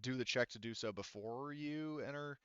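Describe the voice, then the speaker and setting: Rambling man running a tabletop game, smooth voice. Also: mid-range voice, moderate pace, a bit bright, no echo, highs cut off. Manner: rambling, informal, explanatory. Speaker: game master. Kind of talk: casual narration of a tabletop game.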